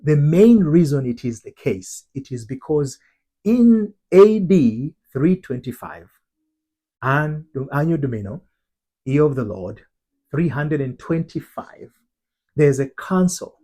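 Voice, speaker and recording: A middle-aged man from Tanzania teaches his viewers in an earnest, deliberate way. He often repeats and restarts his words. His voice is husky and mellow, pitched a little high for a man. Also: big pitch swings. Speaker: identity transformation coach. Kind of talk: teaching talk to camera.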